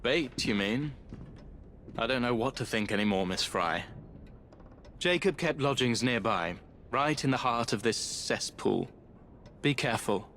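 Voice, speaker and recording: Weary, grave police inspector. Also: male voice, mid-range voice, expressive, measured pace, pauses often, a bit bright, a little hiss or hum. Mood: troubled. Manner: grave, formal. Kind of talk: dramatic video game acting.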